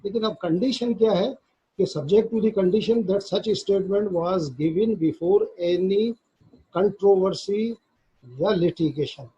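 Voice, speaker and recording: A man with a Pakistani accent lecturing on law, reading formal text aloud and explaining it in a deliberate, halting way. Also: high-pitched, warm tone, husky. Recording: dull, lo-fi recording.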